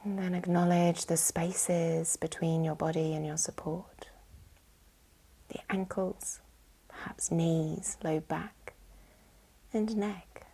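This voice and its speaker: A woman with a soft, breathy, whispery voice, soothingly guiding a yoga nidra relaxation with gentle instructions. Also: fairly low pitch, fairly even intonation, slow pace, pauses often, poor audio. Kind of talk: guided relaxation meditation.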